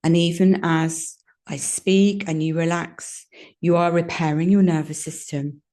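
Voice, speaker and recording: Female meditation guide, low-pitched and soft-edged, mellow tone, gentle soothing delivery with unhurried pauses. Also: steady tone. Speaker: yoga nidra meditation guide.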